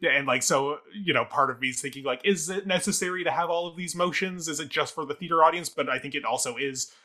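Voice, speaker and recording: A man's voice: fairly high pitch, quick pace, pushed and bright. A man co-hosting a review podcast, casually analytical and often qualifying his own points. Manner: tentative, self-qualifying, analytical. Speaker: theatre review podcast co-host.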